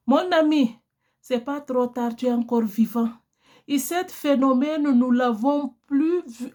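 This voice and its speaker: A woman's voice: sits high, a little mellow. A woman whose first language is Portuguese, preaching a sermon in an earnest, exhorting way, addressing her listeners directly.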